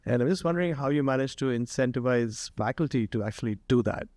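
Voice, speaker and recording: A man's voice: medium pitch, fast talker, a little mellow, dry recording. A man in the audience politely asking a direct question after a talk.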